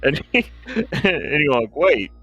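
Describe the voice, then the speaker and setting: A man giving casual, excitable game commentary in halting bursts. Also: a little high, faint music behind, low-quality recording.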